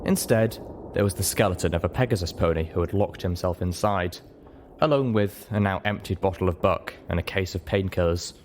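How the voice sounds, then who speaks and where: A man's voice: sits low, brisk, a little mellow, a little hiss or hum. A man reading a first-person story aloud as a dramatic audiobook narration. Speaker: audiobook reader.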